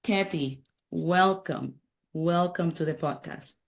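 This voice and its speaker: A woman hosting a podcast, reading a guest introduction and asking measured, encouraging questions. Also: fairly low pitch, creaky. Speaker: podcast host.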